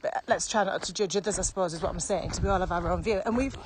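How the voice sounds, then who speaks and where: A woman's voice: lowish voice, rapid-fire. A woman co-hosting a podcast, chatting in an informal, reflective, conversational way. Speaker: podcast co-host. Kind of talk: casual podcast chat. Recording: clearly noisy background with clicks.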